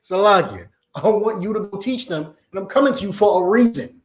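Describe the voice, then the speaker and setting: A man teaches a religious class in a forceful, insistent preaching style, checking again and again that his listeners follow. His voice sits fairly high for a man. The room around him rings with echo. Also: expressive, a little fast. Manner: forceful, repeats "you understand?". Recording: echoey room.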